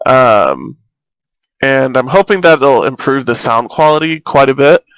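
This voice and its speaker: A young man chatting casually as he plays, rambling from one thought to the next and quick to get excited. His voice sits at an ordinary middle pitch with a slight lisp. It comes through a cheap earbud microphone that makes it sound a little robotic, and the sound is dry and close. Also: clear, resonant tone, no echo, rough recording. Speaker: gaming YouTuber. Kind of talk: casual let's-play commentary.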